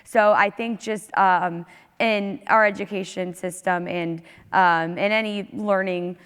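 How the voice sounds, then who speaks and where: A woman speaking on a discussion panel about education, earnest, with a naturally loud voice and many fillers. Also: mid-range voice, steady tone, a little slow, clear, resonant tone. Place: large hall stage. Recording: long reverb from a large hall.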